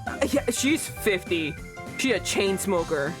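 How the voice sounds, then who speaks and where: A woman hosting a game stream, excitable and laughing a lot, swearing freely as she reacts. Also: on the low side, a little slow. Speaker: streamer, host. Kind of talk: casual game stream chat. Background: game music.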